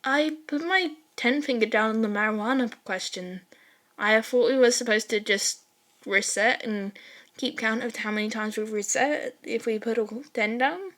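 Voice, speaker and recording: A woman reads as a shy, innocent teenage boy in an audiobook story. He speaks in a fairly high voice, hesitant and sheepish, awkwardly feeling his way through his words. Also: normal speed, fairly bright tone, natural voice. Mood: earnest, puzzled.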